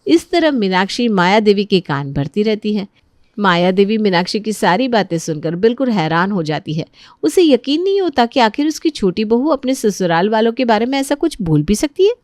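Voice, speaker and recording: A woman's voice: mid-range voice, very animated, normal speed, a little mellow, dry recording, clean studio sound. A woman narrating a scripted family story as its storyteller.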